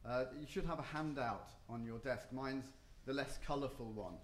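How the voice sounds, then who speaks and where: A man's voice: mid-range voice, normal speed, roomy sound, slight background noise. A man giving a conference panel talk, hesitant, with frequent ums and restarts. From not Japan or the US. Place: hotel conference room.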